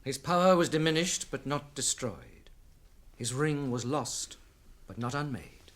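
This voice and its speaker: An actor playing a wise, grave elven lord with a measured, authoritative manner in a radio drama. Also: male voice, mid-range voice, very animated, fairly bright tone.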